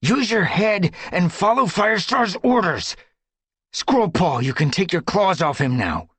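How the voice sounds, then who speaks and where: A man's voice: high voice, sing-song, measured pace, fairly bright tone, breathy edge, dry recording, voice pushed up, exaggerated voice. A man doing a snarling young tom warrior. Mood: stern. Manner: snarling, scolding. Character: stern young tomcat warrior.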